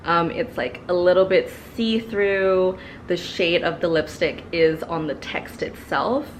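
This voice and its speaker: A woman's voice: lowish voice, a little slow, slight background noise. A young woman in her twenties, a little stuffy from allergies, chatting casually through a makeup review in her living room. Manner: chatty, many fillers and repeated words. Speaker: beauty vlogger. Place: living room, seated before a couch.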